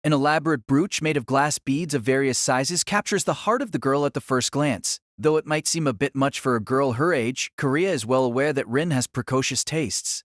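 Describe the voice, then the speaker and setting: A man narrating a novel in a solemn, theatrical style with deliberate phrasing. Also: medium pitch, no room sound, studio quality. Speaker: audiobook narrator. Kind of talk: dramatic scripted novel narration.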